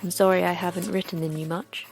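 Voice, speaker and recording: A woman's voice: fairly low pitch, little pitch movement, fast, gravelly, soft background music. A woman playing a lonely, wistful girl confiding in her diary in an audio drama monologue.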